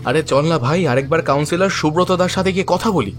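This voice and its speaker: Audio drama lead: defiant young local man, played by a male actor, heated, raised pitch, music bed. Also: a little fast, natural voice. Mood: urging, curious. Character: defiant, frustrated young man defending his playground. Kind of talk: Bengali audio drama acting.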